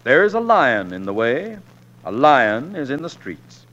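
Bold, expressive frontier gunfighter. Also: male voice, medium pitch, measured pace. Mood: rousing, declaiming. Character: bold, stubborn frontier newspaperman and gunfighter husband, fond and fiery. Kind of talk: 1940s radio western acting. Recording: muffled 1940s radio drama recording.

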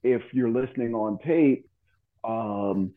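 A man chatting casually about old radio in a halting way, often repeating himself. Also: medium pitch. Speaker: radio show host. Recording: phone-like thin sound.

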